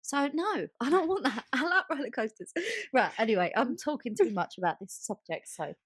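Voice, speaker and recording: A woman's voice: a little high, animated, brisk, breathy edge, no room sound, studio quality. A woman co-hosting a podcast in casual chat, speaking informally and with emphasis. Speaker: podcast co-host.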